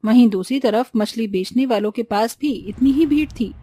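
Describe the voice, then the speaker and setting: A woman's voice: on the high side, normal speed, dark tone, breathy edge, no room sound, soft background music. A woman reading scripted narration for a cartoon story.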